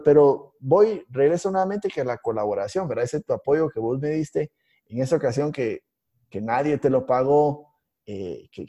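A man co-hosting a podcast, telling stories in a halting way with many restarts and fillers. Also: mid-range voice, dark tone. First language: Spanish. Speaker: podcast co-host, IT instructor. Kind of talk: casual podcast conversation.

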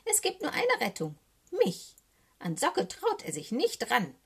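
A woman voicing a small cheeky young male raven in a children's storybook, croaky and excitable, with a wheedling, anxious way of talking. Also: high voice, a little slow, voice pushed up, exaggerated voice. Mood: urgent, scheming. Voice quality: croaky, squawky.

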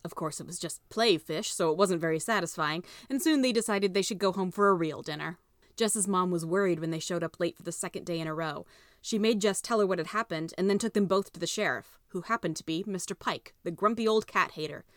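Casting: female audiobook narrator with a fairly low voice, reading scripted fiction in a dry acoustic. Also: brisk, no echo.

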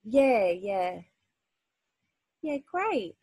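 A woman's voice: medium pitch, sing-song, very slow, darkish tone, husky, dry recording, clean studio sound. A woman hosting a podcast, talking casually with a stuffy, nasal head cold, full of fillers and restarts. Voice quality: nasal, congested. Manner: chatty, with frequent fillers and restarts. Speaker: podcast host. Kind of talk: casual interview chat.

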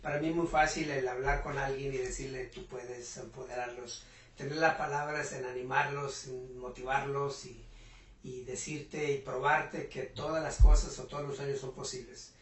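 A man's voice: mid-range voice, level tone, normal speed, a little hiss or hum. A man with a Mexican accent, a guest in an interview at home, talking earnestly and hesitantly with many fillers about motivation. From Puebla, Mexico. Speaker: interview guest, business owner. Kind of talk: reflective motivational talk. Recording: roomy echo, voice from a distance.

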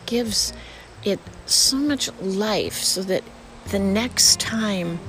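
A woman leading a guided visualization meditation in a slow, soothing, spiritual voice that often sinks to a whisper. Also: medium pitch. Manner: repetitive, spiritual phrasing.

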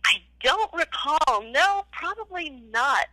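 Older female interviewee, enthusiastic storyteller, high-pitched and piercingly bright, dry call audio. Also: sing-song, slow, no echo. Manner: enthusiastic, chatty. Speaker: interview guest, former child actress. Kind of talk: casual interview, animated storytelling.

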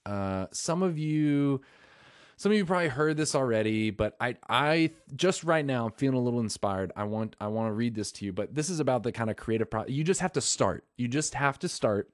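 A man's voice: medium pitch, animated, a little fast, no echo, clean studio sound. A man hosting a podcast alone in a room, rambling and enthusiastic, often correcting himself mid-sentence. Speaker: podcast host. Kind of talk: casual solo podcast talk.

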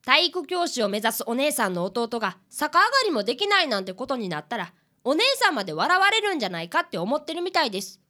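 A woman's voice: sits high, sing-song, fast talker, bright, forceful tone, exaggerated voice. A woman in a radio drama voicing an earnest fifth-grade boy detective who reports politely and formally. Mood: earnest, serious.

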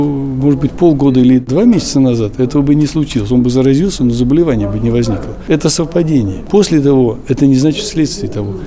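A man's voice: mid-range voice, mellow, slight background noise. A man speaking as an interviewed doctor, explaining and instructing in informal spoken language, in a muffled recording.

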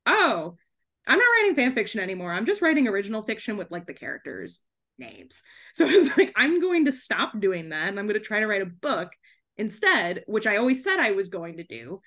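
A woman's voice: medium pitch, big pitch swings, brisk, fairly bright tone. A young woman talking casually as a podcast guest, chatty and giggly, peppering her sentences with "like". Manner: chatty, frequent "like", giggles between phrases. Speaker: podcast guest, debut author. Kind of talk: casual conversational interview.

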